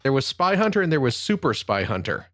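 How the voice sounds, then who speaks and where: A man chats casually as a podcast panelist. His mid-pitched voice moves up and down in a lively way. The sound is dry. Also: a little fast, no echo. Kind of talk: casual chat.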